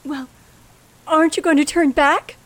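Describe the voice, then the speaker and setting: A woman's voice: high voice, fairly even intonation, moderate pace, natural voice. An actress playing a frightened, tense woman traveler with a dramatic, hesitant delivery in an old radio thriller. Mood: fearful. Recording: faintly noisy old radio recording.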